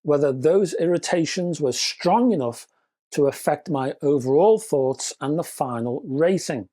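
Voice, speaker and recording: A man presenting a scripted product review, speaking in measured, deliberate phrases with chatty, whimsical turns. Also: on the high side, a little mellow. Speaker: reviewer and presenter of an audio gear channel.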